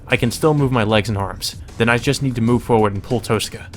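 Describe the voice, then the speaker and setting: A man's voice: mid-range voice, a little fast. A man reading visual novel narration aloud as a let's play, mixed with casual, sweary commentary.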